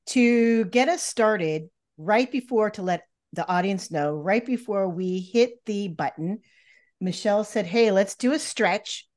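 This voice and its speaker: Middle-aged woman co-host, easygoing and conversational. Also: mid-range voice. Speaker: podcast co-host, mother. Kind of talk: casual chat.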